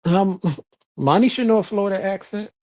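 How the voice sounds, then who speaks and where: An adult live-stream host reading viewer comments out loud and answering them in loose casual chat, laughing often and swearing freely. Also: mid-range voice, normal speed. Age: adult with a child, past teens.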